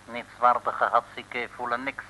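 A humble male servant from a vintage comic radio serial. He talks slowly in stilted broken phrases, with a sharp, bright tone over faint hiss. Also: mid-range voice, level tone, poor audio. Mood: flat, humble. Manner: self-abasing, stilted pidgin with broken grammar. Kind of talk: 1950s-60s comic radio serial acting. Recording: hissy vintage radio recording.

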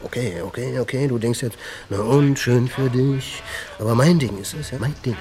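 A man's voice: mid-range voice, moderate pace, darkish tone, close to an everyday voice. An actor playing a jittery, boastful young junkie thief in a crime radio drama, stammering and compulsively repeating his phrases. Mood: nervous, boastful.

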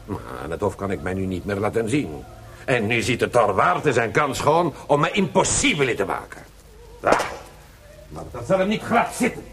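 A man playing an excitable, scheming Italian opera librettist in a radio drama, with an Italian accent and theatrical Italian exclamations. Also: medium pitch, normal speed, background noise, natural voice. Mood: indignant, scheming.

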